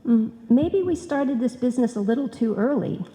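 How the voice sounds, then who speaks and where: An actress playing a doubtful, fretful cousin with a hesitant way of talking, in old-time radio comedy. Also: female voice, on the high side, expressive, normal speed, dark tone. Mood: worried, hesitant. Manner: hesitant, worried. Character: doubtful, fretful female cousin and business partner. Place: on stage in a live performance. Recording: live hall recording, long reverb.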